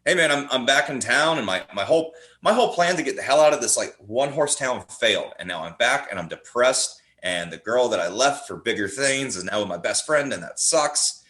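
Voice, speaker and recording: A man's voice: mid-range voice, expressive, brisk, few pauses, a bit bright. A man chatting casually and enthusiastically about films as a podcast guest, rambling through stories and restarting his words as he goes. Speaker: podcast guest, horror playwright. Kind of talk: casual enthusiastic film chat. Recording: roomy, echoing sound.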